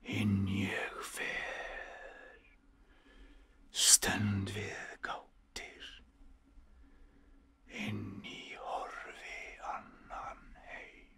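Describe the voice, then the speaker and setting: A man with an Icelandic accent playing a grave, weary Norse villager in a video game, with a husky, breathy voice. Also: on the low side, a bit bright, exaggerated voice. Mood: solemn whispered chanting. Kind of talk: dramatic video game voice acting.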